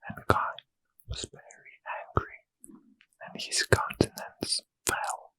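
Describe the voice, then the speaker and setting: A man whispering a Bible passage in ASMR style, close to the mic. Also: dry recording. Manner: soft unvoiced whisper, measured. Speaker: reader.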